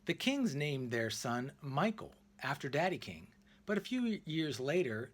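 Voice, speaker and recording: A man reading a children's picture book aloud expressively, in deliberate phrases with emphatic pauses. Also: mid-range voice, slight background noise. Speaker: read-aloud narrator.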